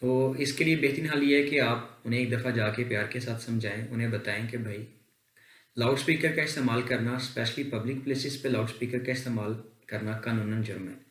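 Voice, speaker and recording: A man's voice: medium pitch, fairly even intonation, a little fast, fairly bright tone. A man with a Pakistani accent presents an explanation to viewers in a formal, earnest manner, as the host of a legal advice channel. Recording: echoey, reverberant room.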